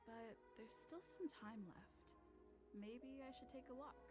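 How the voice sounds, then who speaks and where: An actress playing a calm young woman musing to herself in a video game. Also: a little high, a little slow, over music, natural voice.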